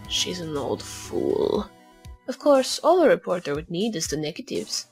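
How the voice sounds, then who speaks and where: A woman voicing a cool, condescending female blackmailer with a deliberate, taunting delivery in an amateur game fandub. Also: lowish voice, very animated, a little mellow, breathy edge, soft background music, full character voice. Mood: sly, commanding.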